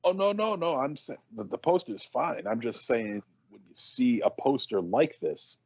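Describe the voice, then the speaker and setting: A man co-hosting a podcast, clarifying his point in a measured way. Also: medium pitch, big pitch swings, creaky, a little mellow, breathy edge, no echo, muffled recording. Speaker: podcast co-host. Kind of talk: casual chat.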